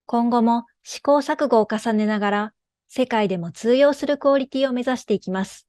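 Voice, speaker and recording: A woman's voice: fairly high pitch, normal speed, darkish tone, dry recording, studio quality. A woman narrating a scripted company presentation as a game developer, in a formal, polite manner.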